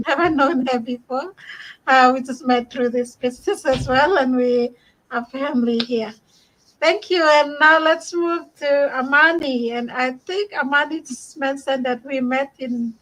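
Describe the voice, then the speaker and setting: High-voiced woman hosting an online call. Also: fairly bright tone, poor audio. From Bougainville. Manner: friendly, laughs often, thanks each guest. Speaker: host. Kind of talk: casual online community chat. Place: home.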